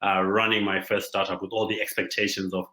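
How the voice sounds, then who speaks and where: A man from Zimbabwe giving a conference talk as a startup founder, speaking haltingly with many pauses and fillers. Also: low voice, level tone, sharp tone, reverberant. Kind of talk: conference presentation.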